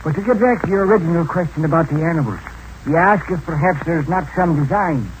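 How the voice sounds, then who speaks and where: An actor in 1940s radio acting style playing a mysterious, wise old hermit who talks in a folksy, drawling, philosophical way. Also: male voice, fairly high pitch, fast talker, natural voice, rough recording. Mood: musing. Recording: old radio broadcast with faint hiss.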